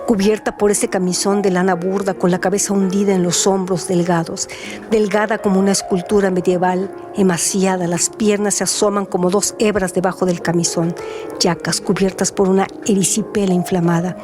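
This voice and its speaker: A woman with a medium-pitched voice narrates in a scripted literary radio style. Music plays clearly behind her as she reads. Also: flat delivery, moderate pace.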